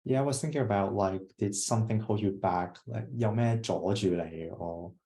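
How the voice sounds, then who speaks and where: A man with a low, warm voice explains his thinking to an online roundtable. He talks loosely, with plenty of fillers. The sound comes through a video call. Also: moderate pace. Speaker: roundtable speaker. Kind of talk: online panel discussion.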